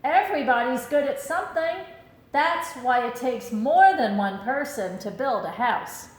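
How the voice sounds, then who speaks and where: High-pitched woman reading a children's story. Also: animated, unhurried, reverberant. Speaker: storybook reader. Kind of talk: children's story read aloud, expressive.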